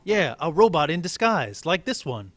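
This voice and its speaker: Excitable, earnest small boy, done by a man, pitched up, for a storybook. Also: moderate pace, no room sound. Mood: eager, earnest. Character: eager small boy who loves toys.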